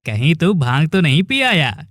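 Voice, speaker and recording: Casting: male actor for bold teasing young villager, cartoon drama, lightly raised pitch, close dry sound. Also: very animated, moderate pace, a bit bright, no echo. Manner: familiar, teasing, emphatic.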